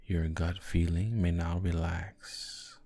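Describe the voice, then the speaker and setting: A man guiding a sleep hypnosis in a soft, calming, gentle voice with long pauses between short phrases. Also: low voice. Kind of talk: guided sleep hypnosis, soothing narration. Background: background music.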